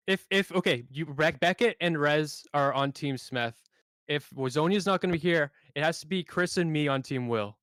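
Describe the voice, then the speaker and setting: A man's voice: a little high, normal speed, fairly bright tone, no room sound. A man on an online panel who stammers and restarts while joking around. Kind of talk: casual online panel chat.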